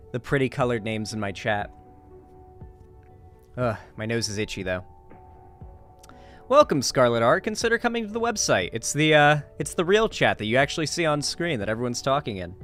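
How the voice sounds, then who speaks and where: A man hosting a live stream, chatting casually and informally with his viewers in an animated way. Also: mid-range voice. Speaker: livestream host.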